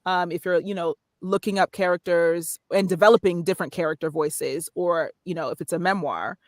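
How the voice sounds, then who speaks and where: A woman's voice: on the low side, fairly quick, no room sound, studio quality. A woman guest on a podcast, chatting casually about narration work and acting out little examples as she explains. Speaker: guest, voiceover artist. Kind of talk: casual interview talk.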